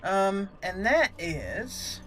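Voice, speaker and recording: Playful woman gamer with a lowish voice. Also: sing-song, extremely slow, fairly bright tone, soft background music. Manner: playful, amused, talks to self. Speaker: gaming channel host. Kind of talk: casual let's play commentary with in-character roleplay.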